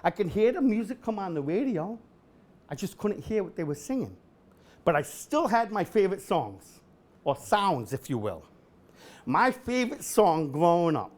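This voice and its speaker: A middle-aged man doing a stand-up comedy set at an event, with a deadpan delivery that bursts into shouted singing. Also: high voice. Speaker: comedian host. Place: event hall with a live audience.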